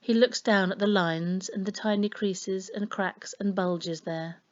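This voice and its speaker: A woman reading a children's story aloud in an expressive storytelling style. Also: mid-range voice, a little slow, lo-fi sound. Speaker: story reader. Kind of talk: expressive children's audiobook narration.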